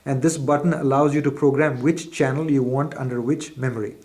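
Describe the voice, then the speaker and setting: Male product demonstrator, mid-pitched, methodical and explanatory, unscripted tutorial delivery. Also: steady tone, a little fast, darkish tone. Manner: methodical, explanatory, formal wording. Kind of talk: instructional product walkthrough, unscripted.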